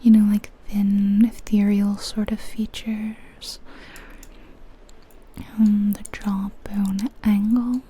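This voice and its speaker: A woman whispering softly and breathily in an ASMR style, gently musing aloud as she comments on a video game. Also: medium pitch, flat delivery, takes it slowly, breathy edge.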